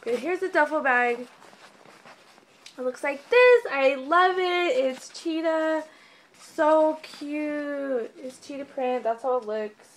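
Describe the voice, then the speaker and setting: Young woman chatting, voice going hoarse. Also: sits high, slow pace. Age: young adult. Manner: bubbly, rambling, lots of "like" and "um". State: losing her voice, getting sick. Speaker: vlogger. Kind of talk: casual haul video chat. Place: bedroom, indoors.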